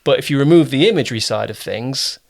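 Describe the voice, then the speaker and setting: A man with a southern English accent hosts a relaxed, conversational interview. His pitch sits in an ordinary middle range. He is chatty and reassuring, and readily explains things as he goes. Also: quick pace, vocal fry. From Kent, southern England. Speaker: interview host, producer and director.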